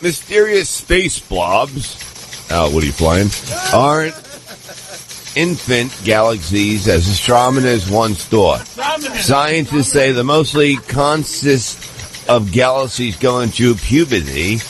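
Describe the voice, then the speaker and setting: A man's voice: mid-range voice, big pitch swings, slow, noisy background. A man reading a comedic mock newscast as the anchor, stumbling over words and mispronouncing them. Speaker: comedy show news reader. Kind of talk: mock newscast read aloud.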